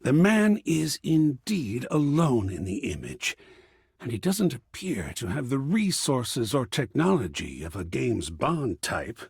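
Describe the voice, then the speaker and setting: Flamboyant gravelly art lover, wildly swooping. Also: male voice, mid-range voice, sing-song, a little slow, a little mellow, dry recording, studio quality, exaggerated voice. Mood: musing, intrigued. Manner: dramatic, swooping, savoring words. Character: flamboyant, passionate, pretentious male art-loving gallery curator. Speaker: video game voice actor. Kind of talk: theatrical game character dialogue.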